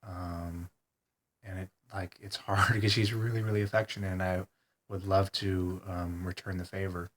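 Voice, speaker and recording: Man, very deep voice, flat delivery, stuffed-up nose, hesitant rambling vlog. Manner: hesitant, full of ums and pauses, soft-spoken. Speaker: vlogger. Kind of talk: rambling personal video diary.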